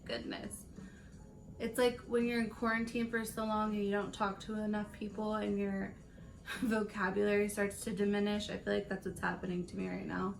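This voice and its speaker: A woman in her late twenties with a raspy voice, chatting casually through a makeup tutorial and rambling between stories with laughs. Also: mid-range voice, level tone, moderate pace, soft background music, low-quality recording. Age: late 20s. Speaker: beauty vlogger.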